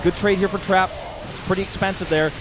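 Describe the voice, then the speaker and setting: Young man, esports play-by-play, high voice, excitable, loud music behind. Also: fast. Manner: excitable, rises sharply during big fights. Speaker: esports caster. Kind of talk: play-by-play commentary. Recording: commentary over loud game music.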